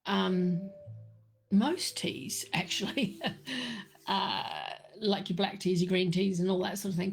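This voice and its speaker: A middle-aged woman hosting a live chat about tea, talking slowly with lots of fillers, her voice a little croaky. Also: mid-range voice. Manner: slow, meandering, many fillers and restarts. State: slightly croaky. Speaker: livestream host answering viewers.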